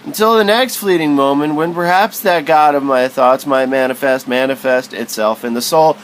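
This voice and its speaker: Guy doing spoken word poetry, theatrical n halting, stumbles over words, mid pitch, some hum behind him. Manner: theatrical, halting, wordy delivery with stumbles and repeats. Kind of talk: literary spoken-word recitation.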